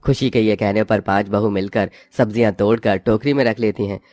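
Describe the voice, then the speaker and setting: A man's voice: on the low side, steady tone, brisk, a little mellow, no echo. A man reading scripted narration for a cartoon moral story. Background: background music.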